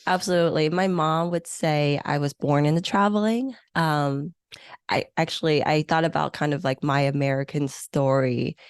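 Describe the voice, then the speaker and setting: Low-voiced woman, enthusiastic guest. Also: steady tone, moderate pace, smooth, dry recording, studio quality. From born in Hong Kong, grew up in the U.S. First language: Chinese or Vietnamese. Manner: enthusiastic, reflective, uses many fillers. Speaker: senior meeting planner, podcast guest. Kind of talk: conversational interview.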